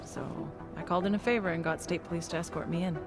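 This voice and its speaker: Wry, low-voiced woman colleague, acted. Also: steady tone, natural voice. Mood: composed, wry. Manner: wry, measured. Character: wry, composed woman colleague, softly teasing. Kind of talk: video game voice acting. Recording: music behind the voice.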